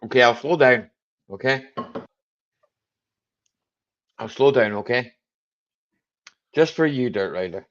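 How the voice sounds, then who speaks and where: A man with a strong Scottish Highland accent hosting a casual live chat, joking, laughing often and dropping "aye" and "eh" into his talk. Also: lively intonation, moderate pace, muffled recording. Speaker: livestream host.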